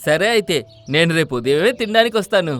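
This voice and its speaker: A man's voice: high voice, animated, brisk, a bit bright. A man voicing a pushy male eagle in a children's cartoon story. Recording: background music under the voice.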